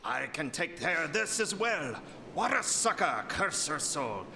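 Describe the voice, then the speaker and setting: A man's voice: a little high, very animated, measured pace, vocal fry, pushed and bright, slight background noise, full character voice. A man playing a grumpy, scheming troll who chants curses in a radio play. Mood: commanding, cursing.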